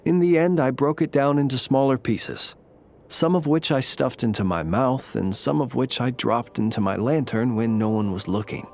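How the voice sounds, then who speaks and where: A man voicing a courteous, modest gentleman in a video game, speaking in a measured, polite way with wry understatement. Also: mid-range voice, lively intonation, close to an everyday voice. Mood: gently reflective. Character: courteous, gentle male lantern bearer, modest and wryly humorous. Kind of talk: video game character dialogue, storytelling.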